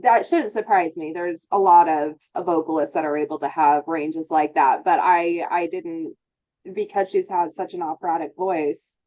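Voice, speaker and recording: A woman's voice: deep voice, moderate pace. Middle-aged female interviewer, eager and chatty, muffled lo-fi line. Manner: enthusiastic, chatty. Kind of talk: casual interview chat. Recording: dull lo-fi remote audio.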